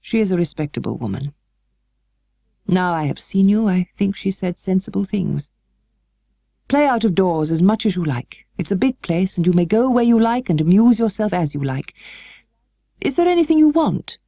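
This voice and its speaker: A melancholy, worried gentleman guardian, acted by a woman storybook reader. He speaks slowly and haltingly in a gravelly, dark, weary voice, stiff but kind, recorded close and dry. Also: mid-range voice, sing-song, dry recording, pitched above the performer's own voice. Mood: weary, kindly. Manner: slow, halting, worried, well-spoken.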